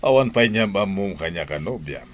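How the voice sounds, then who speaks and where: A man's voice: low voice, lively intonation, normal speed. An actor with an Ilocano Filipino accent playing an older, prodding and suspicious father in a radio drama. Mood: prodding, disapproving.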